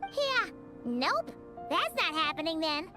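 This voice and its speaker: Brief: a chatty little floating sidekick girl, animated sing-song delivery. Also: exaggerated voice. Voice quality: very high, bouncy childlike voice. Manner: eager, quick to react. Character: tiny floating girl companion, chatty and excitable. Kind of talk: video game dialogue. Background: game music.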